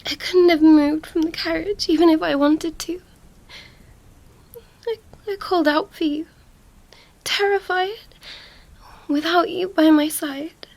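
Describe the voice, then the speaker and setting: A woman's voice: very high-pitched, steady tone. A woman playing a young princess, commanding yet tender and distraught, in an emotional ASMR roleplay monologue. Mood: shaken, tender. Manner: hushed, breathy, halting, emotional delivery.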